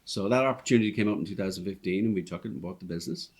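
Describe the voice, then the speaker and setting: A man's voice: deep voice, racing, darkish tone. A man in his fifties with a Dublin Irish accent, a restaurant owner telling his story in an easygoing, rambling way with plenty of ums. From Ireland. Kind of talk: casual interview chat. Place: inside one of his restaurants.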